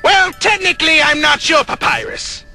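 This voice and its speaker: A woman voicing an excitable, affectionate, boastful skeleton brother in a dramatic, gushing way for an animated comic dub. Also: very high voice, normal speed, vocal fry, soft background music, full character voice. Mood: cheerful.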